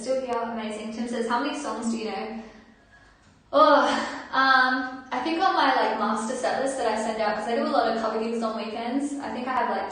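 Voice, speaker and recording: A woman's voice: fairly high pitch, a bit bright. An Australian woman chatting casually and rambling informally to viewers as the host of a live stream. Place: indoors at home. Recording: very echoey room, distant microphone.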